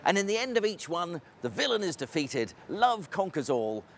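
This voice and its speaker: Older male presenter, higher-pitched, animated delivery, scripted, faint outdoor noise behind. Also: moderate pace. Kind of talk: scripted piece to camera.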